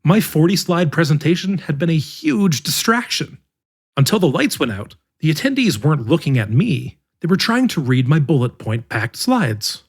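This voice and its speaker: A man narrating an article in the first person as the regular reader of a podcast, with a measured, expressive delivery. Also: fairly high pitch, breathy edge. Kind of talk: scripted narration.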